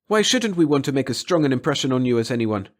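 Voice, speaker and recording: A man's voice: mid-range voice, big pitch swings, fast talker, voice pushed up, lightly acted. A man voicing a bitter, vengeful older sister in an audiobook, speaking with scornful passion. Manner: scornful, impassioned. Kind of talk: dramatic audiobook character reading.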